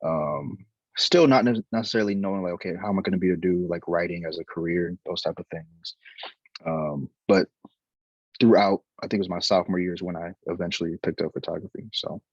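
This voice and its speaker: A man's voice: low voice, fairly even intonation, quick pace, no room sound, highs cut off. A young man from Liberia, a photographer talking casually about his career, hesitant with many fillers and restarts. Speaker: photographer, interview guest.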